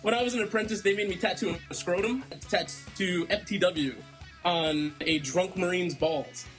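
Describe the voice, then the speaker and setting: Male clownish tattooer, high-pitched, crude joking delivery, clear guitar bed. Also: normal speed, fairly bright tone. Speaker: tattoo artist. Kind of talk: joking reality show interview. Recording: guitar music behind the voice. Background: guitar music.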